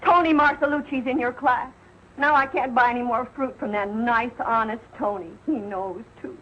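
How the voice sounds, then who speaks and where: A woman playing a sharp, suspicious housewife and mother in a 1940s television comedy, pointed and sarcastic in manner. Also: a little high, fairly even intonation, normal speed, close to an everyday voice. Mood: exasperated. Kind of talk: 1940s television sitcom acting. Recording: muffled, noisy old 1940s TV soundtrack.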